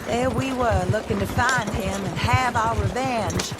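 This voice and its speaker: An actress playing a bitter, vengeful widow in a western game, speaking in a measured, formal, sardonic way. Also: female voice, on the high side, heavy background noise, close to an everyday voice. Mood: cool, satisfied. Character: bitter, grieving, vengeful widow of the Old West. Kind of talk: dramatic western game acting.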